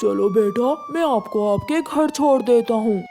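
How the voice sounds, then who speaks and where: A woman voicing a steady, helpful bird uncle in a children's cartoon, measured and authoritative. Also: fairly high pitch, slight background noise. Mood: calm, official. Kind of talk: Hindi children's cartoon dialogue.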